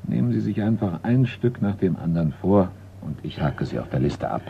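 A man playing a calm, discreet art valuer in a German radio crime play, speaking in a composed, polite, measured way. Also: low-pitched, vocal fry, heavily acted. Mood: businesslike. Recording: tinny, narrow-band radio recording, faint noise.

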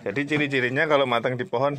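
A man's voice: mid-range voice, steady tone, rapid-fire, background noise. A jovial, teasing man hosting a casual durian farm vlog, chatting outdoors. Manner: jovial, teasing, laughs often. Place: outdoors at an orchard shelter.

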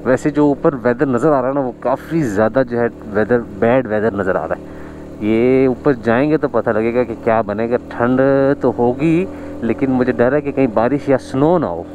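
Man, casual ride vlog, mid pitch, faint wind and engine hiss under him. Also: moderate pace, darkish tone, lo-fi sound. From Pakistan. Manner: chatty, marvels at scenery, chuckles often. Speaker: vlogger. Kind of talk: casual motorbike ride commentary. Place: outdoors on a snowy mountain road.

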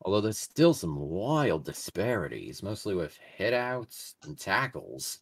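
A man with a slightly raspy voice as color commentator on a video game match, casual and quick to react. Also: deep voice, big pitch swings.

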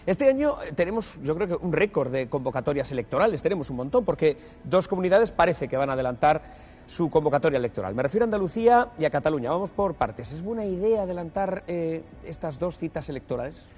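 Fast-talking male interviewer, slightly husky. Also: a little high, very animated, a little mellow. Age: 30s. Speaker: television interviewer, host. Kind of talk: brisk political interview questioning. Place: television studio.

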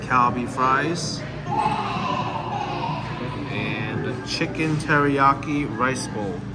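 A man's voice: mid-range voice, drawn-out words, loud music behind the voice. Man eagerly tasting food on camera. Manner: enthusiastic, savoring each bite with "mm". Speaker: food vlogger. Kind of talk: casual food vlog narration. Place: roadside restaurant in a hot desert town.

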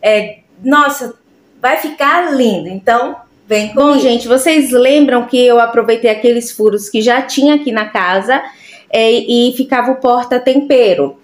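Brazilian woman vlogger, chatty and affectionate, slightly high voice, echoey home kitchen. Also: normal speed. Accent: Brazilian Portuguese. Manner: chatty and affectionate, fond of diminutives. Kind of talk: casual cleaning vlog narration.

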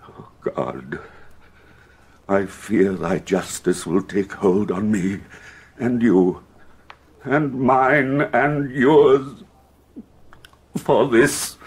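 Slow, husky, grief-stricken king. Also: lowish voice, a little mellow, full character voice. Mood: remorseful, sorrowing. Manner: declamatory, slow and anguished, with heavy pauses. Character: grief-stricken, wrathful, ailing English king. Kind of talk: classical Shakespearean audio drama acting.

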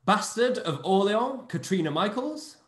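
Male host, high animated voice, brisk introductions, echoey video-call sound. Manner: brisk, announcing names in a lively sing-song. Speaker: host and producer.

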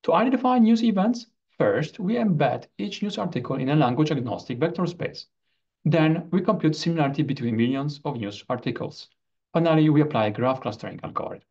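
Guy reading out a research talk, kinda high voice, formal and careful, bit lo-fi muffled audio. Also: expressive, brisk, a little mellow. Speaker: researcher presenting a paper. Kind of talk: scripted academic video presentation.

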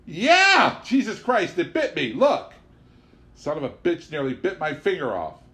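A man's voice: on the high side, very animated, unhurried, pushed and bright, pitched above the performer's own voice, exaggerated voice. A man voicing an angry, foul-mouthed rustic bully in an audiobook, speaking crudely. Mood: pained outrage. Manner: hollering, crude, rustic ungrammatical speech. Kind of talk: audiobook character voice.

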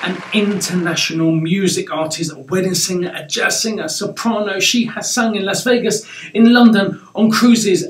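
A middle-aged man hosting a talk show interview, quick and enthusiastic, often exclaiming. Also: fairly high pitch. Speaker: host. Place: indoors, decorated home studio. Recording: roomy echo, mic at a distance.

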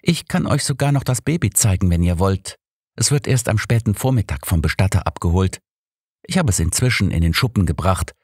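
A man's voice: low voice, expressive, brisk, breathy edge, no room sound, studio quality, pitched above the performer's own voice, full character voice. A man voicing a friendly, helpful doctor's wife in an audiobook, obliging and explanatory. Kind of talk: audiobook character dialogue.

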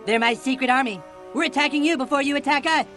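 A man's voice: very high-pitched, fast talker, faint music behind. A man voicing a scheming, boastful, bossy cartoon boy who whines and gloats. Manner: whiny, gloating and shouty. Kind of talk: cartoon game cutscene acting.

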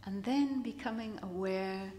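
An older woman priest giving a sermon from a church pulpit, with measured, dramatic delivery and deliberate pauses. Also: mid-range voice, mellow, a little hiss or hum. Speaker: priest preaching. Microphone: gooseneck lectern microphones. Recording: echoey church with long reverb.

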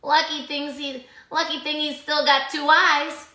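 A woman's voice: high voice, little pitch movement, a little slow, sharp tone, echoey room, voice pushed up. A woman voicing a gossiping, boastful old green snake with a wry, knowing manner in a storybook. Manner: bragging, wry.

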